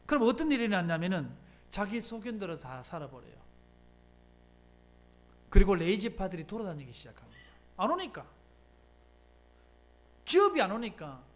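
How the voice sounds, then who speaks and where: A pastor delivers a Sunday sermon in a church. The pitch rises and falls a great deal, and the delivery keeps throwing rhetorical questions at the congregation and answering them. The tone stays conversational and emphatic throughout. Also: a bit bright. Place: church sanctuary.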